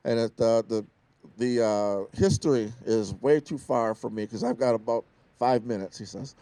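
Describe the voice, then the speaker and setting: A middle-aged man with a big, carrying voice, giving an earnest, storytelling speech to a crowd, often pausing with "uh" and restarting his sentences. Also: mid-range voice, dark tone, smooth. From Wisconsin, USA. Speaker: invited speaker. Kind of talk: public speech at an outdoor event.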